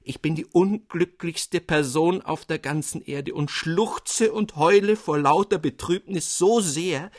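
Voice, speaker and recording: Male reader doing a young maiden in a fluster: high, shrill, exclaiming. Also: measured pace, hardly any pauses, no room sound, studio quality. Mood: lamenting. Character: frightened, indignant young country maiden.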